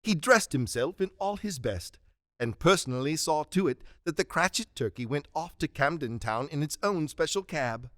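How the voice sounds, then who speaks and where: A man's voice: on the high side, lively intonation, normal speed, a bit bright, no room sound, studio quality. A man giving dramatic scripted narration of a story in a radio play.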